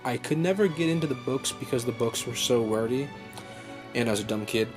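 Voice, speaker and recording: A young man talks off the cuff with clear music playing behind him. His voice sits at an average pitch, and he rambles along with a fan's enthusiasm, doubling back to fix his own words. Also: fairly quick. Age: young adult. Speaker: vlog host. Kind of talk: casual unscripted unboxing chat. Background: background music.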